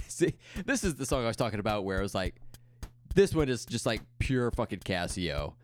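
A young man co-hosting a casual podcast, cracking dry, deadpan jokes. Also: fairly low pitch, moderate pace, soft background music. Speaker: podcast co-host. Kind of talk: casual chat.

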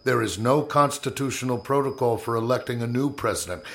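An actor playing a stern, commanding 1860s Secretary of War in a historical audio drama, speaking with clipped, formal authority. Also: male voice, mid-range voice, fairly quick, vocal fry, natural voice. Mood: grave, controlled. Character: stern, commanding male Secretary of War in 1865, grimly resolute. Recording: dramatic music under the voice.

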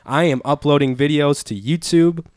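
A man's voice: on the high side, moderate pace, smooth, dry recording, clean studio sound. A young man with a cold co-hosting a podcast in casual, joking chat, a little stuffy and sniffly. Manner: joking, animated, crude humor. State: has a cold, congested. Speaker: podcast co-host. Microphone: podcast microphone.

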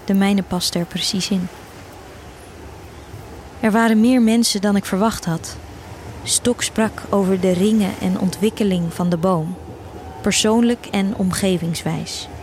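A woman's voice: medium pitch, fairly even intonation, unhurried, long pauses, darkish tone, a little hiss or hum. A woman reading a literary first-person story as the narrator of an audio drama. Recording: music behind the voice. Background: music.

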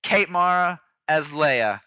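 A man's voice: on the high side, animated, slow, sharp tone, clean tone, no room sound, clean studio sound. A man co-hosting a podcast, chatting and joking with enthusiasm. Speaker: podcast co-host. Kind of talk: casual podcast chat.

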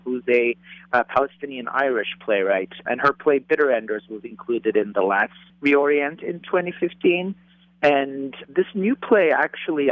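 A man's voice: mid-range voice, dry recording, phone-quality sound. A theater director from Turkey, a man, answering interview questions in a reflective way with small fillers.

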